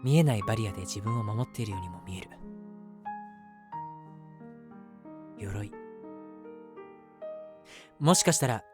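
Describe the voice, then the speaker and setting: A man's voice: lowish voice, lively intonation, a little fast, loud music behind the voice, pitched below the performer's own voice, lightly acted. A man voicing an eager, easily flustered young man in love, chatty and self-deprecating, in a romantic audio drama. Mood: pensive, tender. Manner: chatty, self-deprecating, earnest, hesitant asides. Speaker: voice actor.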